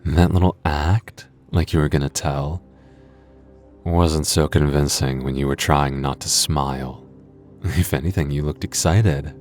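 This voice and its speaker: Very deep-voiced, teasing young vampire guy, acted by a man. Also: darkish tone. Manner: hesitant stammering, sardonic banter. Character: flustered teasing young vampire convenience store coworker, male. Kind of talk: ASMR roleplay audio drama.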